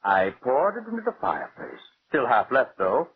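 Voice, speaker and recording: A man's voice: mid-range voice, wildly swooping pitch, normal speed, husky, exaggerated voice. An actor playing a dying husband who suavely taunts his wife in theatrical, mock-affectionate tones, in a 1940s radio drama. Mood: suave taunting. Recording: muffled, hissy old radio recording.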